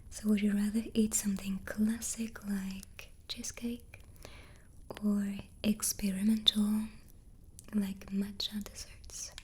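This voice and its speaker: A woman whispers the whole time in a soft, unvoiced ASMR voice, right up close to the microphone. She talks slowly and keeps her tone flat and steady, nibbling on cookies between answers. A faint hiss sits behind her. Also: mid-range voice. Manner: snacking on cookies while talking. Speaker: ASMR host.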